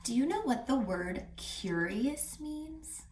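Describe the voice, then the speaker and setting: A woman reading aloud to children as a reading teacher, expressive and teacherly, explaining and asking questions. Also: high voice, slow, a little hiss or hum. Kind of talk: children's read-aloud with questions to young listeners. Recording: echoey room, distant mic, music.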